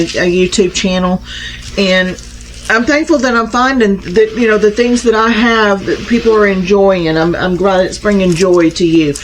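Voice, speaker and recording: A woman hosting a casual crafting unboxing vlog, chatty and homey, slightly raspy from a starting cold. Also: mid-range voice, a little hiss or hum, poor audio. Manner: chatty, homey, addresses viewers as y'all. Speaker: crafting channel host.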